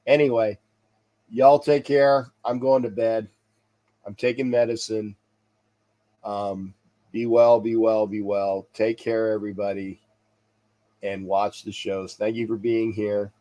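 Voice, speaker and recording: A man's voice: on the low side, flat delivery, slow pace, long pauses, warm tone. A man hosting a sports talk livestream, stuffy and congested, rambling casually and repeating himself as he signs off to viewers. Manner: chuckles to himself. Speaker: sports talk show host.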